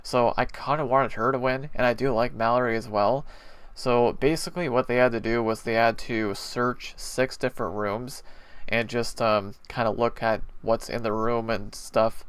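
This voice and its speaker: A man giving a casual, rambling spoken review of a TV episode, chatty with frequent pauses and fillers, his nose a little stuffy. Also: medium pitch, steady tone, clean tone, no room sound. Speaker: TV show reviewer.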